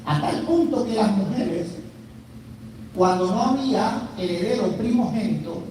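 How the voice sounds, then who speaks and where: A woman giving firm, deliberate scripted commentary and reading scripture slowly. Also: mid-range voice, lively intonation, dark tone, slight background noise. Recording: echoey room from a distance.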